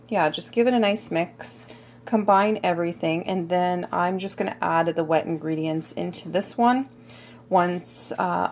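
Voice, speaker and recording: A woman filming a casual cooking video in her home kitchen, chatty and full of little asides, her voice a bit hoarse. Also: fairly low pitch, slight background noise. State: slightly hoarse. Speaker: cooking vlogger.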